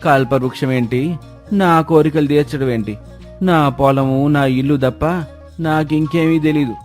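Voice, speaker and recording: A man's voice: a little high, moderate pace, darkish tone, soft background music, lightly acted. A man playing a humble, hardworking farmer in a moral story, protesting in a plaintive, insistent rustic manner. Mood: exasperated. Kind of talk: acted moral storytelling dialogue.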